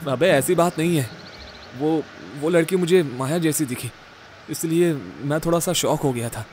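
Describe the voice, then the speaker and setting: A man acting a high-pitched, bossy ghost investigator. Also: moderate pace, darkish tone, slight background noise, close to an everyday voice. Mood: earnest, planning. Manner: bossy, exasperated, foul-mouthed. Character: Dhruv, irritable bossy male ghost-case investigator and leader. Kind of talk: Hindi horror-comedy audio drama acting.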